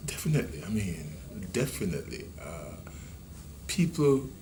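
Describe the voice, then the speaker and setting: Retiring police officer, Jamaican man in his sixties, deep voice, unhurried storytelling, noisy room. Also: sing-song, a little mellow. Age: 60s. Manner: measured, reminiscing, with many ums and restarts. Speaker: retiring police deputy commissioner. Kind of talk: reflective interview storytelling.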